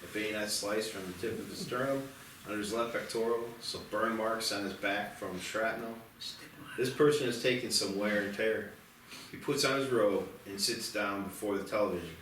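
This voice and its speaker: A man's voice: fairly low pitch, normal speed, a bit bright, a little hiss or hum. A man narrating a screenplay, reading out the stage directions at a table read. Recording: distant echoey room, clear music behind.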